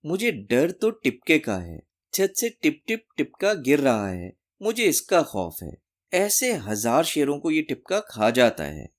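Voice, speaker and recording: A man's voice: a little high, wildly swooping pitch, unhurried. A man voicing a boastful, cowardly storybook hero who brags in a declamatory way. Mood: boastful bragging. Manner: bragging, declamatory. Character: boastful swaggering cowardly man posing as mighty warrior.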